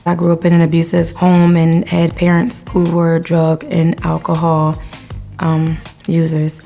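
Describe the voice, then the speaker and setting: Gravelly, low-voiced woman with soft music. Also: completely flat delivery, unhurried. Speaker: graduating student. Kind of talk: interview testimonial. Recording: music bed underneath.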